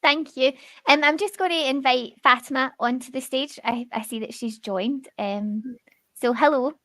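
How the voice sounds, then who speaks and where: A woman moderates an online question and answer session. Her voice sits a little high and the sound is dry. She phrases things informally, with some hesitation. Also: moderate pace, sharp tone, dry recording. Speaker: host, university staff moderator. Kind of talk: hosting an online student Q and A panel. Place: online video call.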